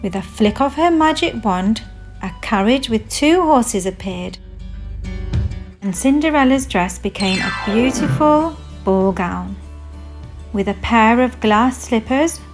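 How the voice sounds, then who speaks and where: A woman narrating a children's storybook in a measured, expressive read-aloud manner. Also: a little high. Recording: music behind the voice. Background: music.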